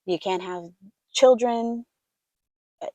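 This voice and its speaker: Soft-spoken woman coaching, dry close sound. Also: a little high, slow pace, dry recording, muffled recording. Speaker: voiceover coach. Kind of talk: casual instructional talk to camera.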